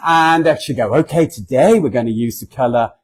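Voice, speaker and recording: A man's voice: medium pitch, fairly quick, husky. A man in his forties with a southern English accent, giving a humorous, self-deprecating talk at a church and acting out voices in his stories. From southern England. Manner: chatty and self-deprecating, acts out voices in anecdotes. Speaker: guest speaker.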